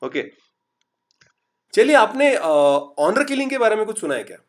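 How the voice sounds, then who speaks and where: Chatty Marathi-accented teacher. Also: male voice, high-pitched, big pitch swings, a little hiss or hum. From Maharashtra. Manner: chatty, reads out students' typed replies; urges quick answers, mixes English. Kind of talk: online lecture.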